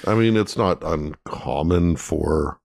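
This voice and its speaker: A man with a very deep voice who co-hosts a podcast. He makes dry, measured remarks, and his voice sounds close and dry. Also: gravelly, a little mellow, dry recording. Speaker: podcast co-host. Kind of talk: casual chat.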